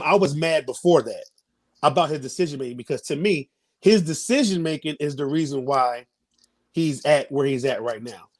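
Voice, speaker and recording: A man in his thirties giving opinions in a casual interview, halting and repeating phrases as he builds his point. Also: fairly high pitch, a little mellow. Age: 30s. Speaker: interview guest.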